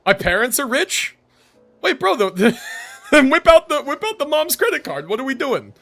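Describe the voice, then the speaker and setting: An American man chatting casually on a live game stream in an animated, slangy, joking way. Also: very high voice, normal speed, faint music behind.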